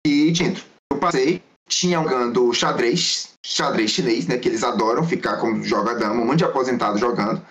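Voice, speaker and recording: A man's voice: mid-range voice, brisk, few pauses, a crowd in the background. A man, a Mandarin school teacher and guest, answering casually and rambling through anecdotes. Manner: rambling, storytelling, self-correcting. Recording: dull lo-fi audio.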